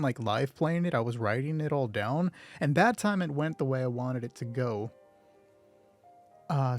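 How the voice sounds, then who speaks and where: A man's voice: mid-range voice, darkish tone, no echo. A man hosting a solo roleplay show in casual, rambling, self-deprecating commentary, swearing offhandedly. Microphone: close mic with gain set high.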